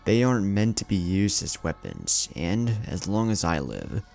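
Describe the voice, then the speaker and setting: A man acts a resolute young ninja hero from an anime fan story. He speaks gravely and plainly, low and creaky, with music beneath. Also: huge pitch swings, normal speed, a little mellow, exaggerated voice. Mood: grave, firm.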